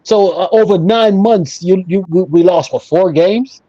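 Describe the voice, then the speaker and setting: A man's voice: high-pitched, measured pace, mellow, no room sound, lo-fi sound. A man talking casually and emphatically as an opinionated football fan on a panel show, repeating himself for emphasis. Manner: emphatic, repetitive, conversational.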